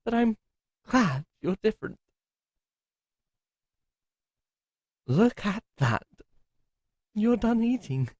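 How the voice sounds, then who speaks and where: A man voicing a gentle, timid masked servant to a cruel duke, speaking softly, formally and with hesitation. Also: high voice, very animated, takes it slowly, dry recording, clean studio sound, exaggerated voice. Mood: touched. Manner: soft, hesitant, formal and polite. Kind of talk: ASMR roleplay acting.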